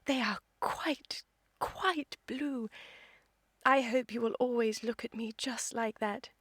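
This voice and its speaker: A self-assured, romantic young lady of English high society in a radio comedy. Her upper-class English voice sits fairly high, poised, precise and affected. It is recorded dry and close. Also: level tone, moderate pace, no room sound. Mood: coy, expectant. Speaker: actor in a radio play. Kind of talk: theatrical comedy-of-manners radio acting.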